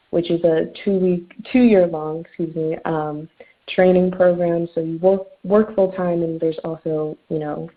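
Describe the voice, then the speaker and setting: A young woman in her twenties introducing herself on a webinar panel, chatty with lots of ums. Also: fairly low pitch. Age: 20s. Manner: chatty with many fillers. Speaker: webinar panelist, underwriting analyst. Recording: tinny phone-like line.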